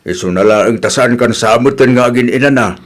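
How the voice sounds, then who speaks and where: A man acting a caring, fair-minded older village father in a radio drama, gently admonishing, with an Ilocano accent. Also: medium pitch, fairly quick, close to an everyday voice.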